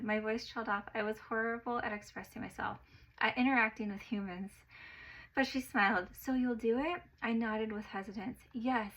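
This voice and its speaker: A woman narrating a personal story in an expressive way, getting louder at the exciting parts. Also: medium pitch, low-quality recording.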